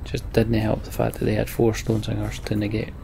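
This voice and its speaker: Gravelly, even-toned man commentating on a game. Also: lowish voice, fairly quick, a little hiss or hum. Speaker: let's play host. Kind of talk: casual gaming commentary with voiced reading of story text.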